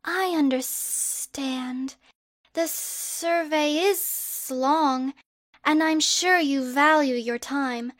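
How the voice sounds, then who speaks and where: A woman voicing a soft-spoken, quietly menacing boy student who talks slowly in a hushed, breathy way. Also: high voice, no room sound, studio quality.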